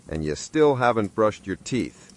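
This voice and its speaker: A man's voice: lowish voice, sing-song, a little slow. A man delivering scripted history narration in a wry, sardonic storytelling manner, addressing the listener directly.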